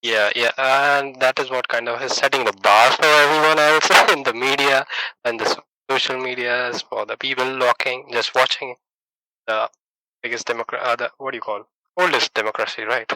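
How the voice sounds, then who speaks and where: A man's voice: mid-range voice, steady tone, normal speed, pushed and bright, no room sound, rough recording. A man from India, speaking as an in a casual podcast chat, laughing often and searching for words. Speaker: podcast host.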